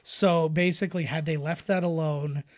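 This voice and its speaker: A man's voice: on the high side, steady tone, moderate pace. Rambling man co-hosting a film podcast. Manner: rambling, explanatory, frequent self-interruptions. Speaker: podcast co-host. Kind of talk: casual film discussion banter.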